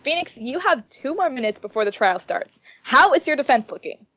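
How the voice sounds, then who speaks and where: A woman's voice: sits high, big pitch swings, a little fast, pushed and bright, no echo, exaggerated voice. A woman voicing a pouty, threatening young woman defendant with exaggerated girlishness in an amateur fan fiction reading. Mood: urgent.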